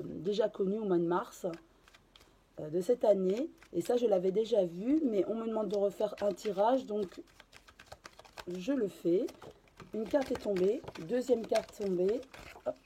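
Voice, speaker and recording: Woman card reader, hoarse with a cold, dark husky tone, halting delivery. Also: medium pitch. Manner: hesitant, many fillers and restarts. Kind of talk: casual tarot reading to camera.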